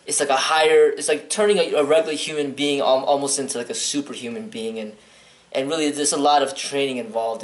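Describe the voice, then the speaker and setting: A man of medium pitch from Chicago speaks as an interview guest, blunt and earnest. He rambles, restarts phrases and fills gaps with "um". His voice sits in a roomy, echoey space. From Chicago, United States. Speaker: martial arts instructor, guest. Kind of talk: interview answers.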